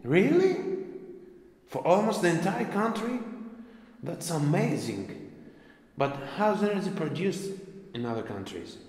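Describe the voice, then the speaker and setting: Guy playing an amazed curious buddy in an educational film, really animated pitch, boomy reverby room. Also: unhurried, pauses often. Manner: questioning, exclamatory. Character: curious, easily amazed male friend learning about energy. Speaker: character in a student educational film. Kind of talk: scripted educational dialogue. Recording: echoey hall-like reverberant room.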